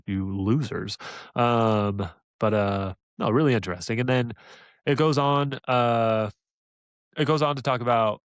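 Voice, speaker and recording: A man co-hosting a casual podcast, animated and laughing often, trailing off into fillers. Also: on the low side, no echo. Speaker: podcast co-host.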